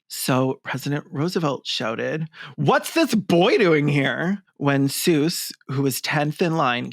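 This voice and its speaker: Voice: mid-range voice, no room sound. A sarcastic host giving mocking, theatrical comedic commentary in a reaction show.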